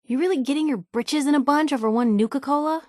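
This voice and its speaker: A woman's voice: high-pitched, fast talker, a little mellow, dry recording, close to an everyday voice. Actress doing a young woman reporter sidekick from a video game, wisecracking and loyal, super dry close-up sound. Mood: pleading, urging. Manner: wisecracking, sardonic, conversational. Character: wry, plucky young woman reporter, loyal and outspoken companion. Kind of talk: scripted video game companion dialogue.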